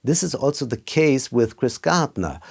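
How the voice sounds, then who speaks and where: A man presenting a scripted video essay as a film critic, with measured, careful phrasing. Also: medium pitch, very animated, gravelly, a little mellow, slightly rough.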